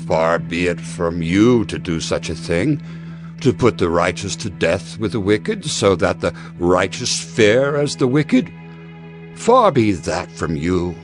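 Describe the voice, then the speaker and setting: A man's voice: low voice, big pitch swings, takes it slowly, over music, heavily acted. A man playing a humble, earnest old patriarch in a dramatized audio Bible, reverent and emphatic. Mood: pleading. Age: older. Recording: prominent musical score behind the voice.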